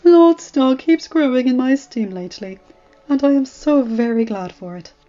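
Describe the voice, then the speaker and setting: A woman voicing a kindly, wise, very elderly male maester in a fantasy story, speaking in a courtly, measured way. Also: sits high, lively intonation, warm tone. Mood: warm, proud. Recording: muffled audio with music.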